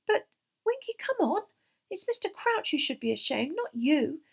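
A woman's voice: extremely high pitch, big pitch swings, normal speed, gravelly, pitched above the performer's own voice, heavily acted. A woman voicing an earnest young girl in a storybook, firm and reasoning as she speaks. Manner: insistent, reasoning.

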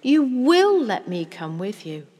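Woman as young storybook boy, eager, pleading, breathless, high, husky-edged, reverberant. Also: big pitch swings, moderate pace, heavily acted. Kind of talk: children's storybook character acting.

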